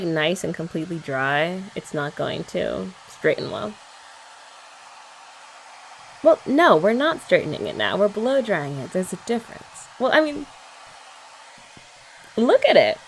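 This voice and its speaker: Young woman, ASMR girlfriend roleplay; playful, teasing, lively pitch, some vocal fry, faint dryer noise behind. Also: measured pace, long pauses, rough recording. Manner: playful teasing chat, soft-spoken. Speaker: ASMR creator.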